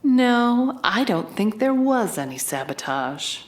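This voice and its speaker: A woman's voice: mid-range voice, sing-song, takes it slowly. A woman playing a bold, wry investigative reporter who narrates her case in a dramatic, noir-style audio drama. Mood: calm, probing.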